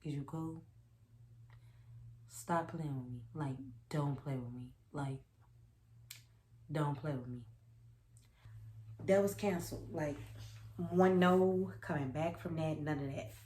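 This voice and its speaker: A woman's voice: low voice, slow pace, pauses often, dark tone, slight background noise. A young woman telling a personal story in a casual, sassy, emphatic way, repeating phrases to drive her point home. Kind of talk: casual storytime chat to camera. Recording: roomy echo.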